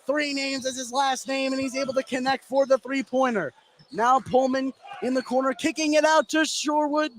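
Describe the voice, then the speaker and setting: A man giving live play-by-play radio commentary on a basketball game, getting excitable on big plays. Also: extremely high pitch, normal speed, slight background noise. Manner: excitable on big plays, calling action as it happens. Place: indoor basketball gym, courtside.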